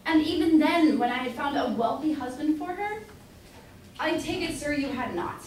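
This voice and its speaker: A woman's voice: high-pitched, lively intonation, moderate pace. A woman playing a stern, haughty old merchant father in a staged reading of a period comedy. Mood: stern, scornful. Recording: echoey room from far off, faint noise.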